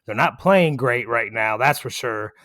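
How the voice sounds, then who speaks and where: A man co-hosting a casual sports podcast, giving agreeable, measured, plainspoken answers. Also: medium pitch, animated, sharp tone, no echo, clean studio sound. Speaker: podcast co-host.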